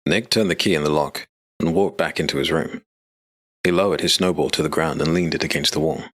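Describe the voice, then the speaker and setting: A man narrating a scripted dark fantasy story as an audiobook narrator. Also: a bass voice, fairly quick, no room sound.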